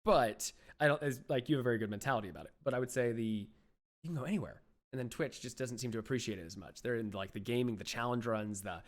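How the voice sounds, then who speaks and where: A young adult man hosting a podcast, chatting loosely, with lots of restarts and fillers and his voice often trailing off quietly. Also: mid-range voice, fast talker. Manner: rambling, many restarts and "like", drops to quiet mumbles. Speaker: podcast host. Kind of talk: casual interview chat.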